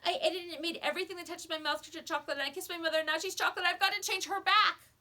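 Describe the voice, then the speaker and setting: A woman's voice: very high voice, steady tone, rapid-fire, bright, forceful tone, voice pushed up. A woman voicing a frantic young boy in a storybook, pleading urgently and emphatically. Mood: frantic, babbling.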